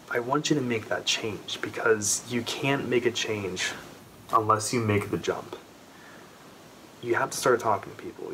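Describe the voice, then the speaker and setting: Young man who stutters, slow and low. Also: fairly bright tone, a little hiss or hum, rough recording. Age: 20s. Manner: stutters, with repeated sounds and restarts. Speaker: vlogger. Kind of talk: heartfelt personal talk to camera. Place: small bedroom, indoors. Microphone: camera in front at close range.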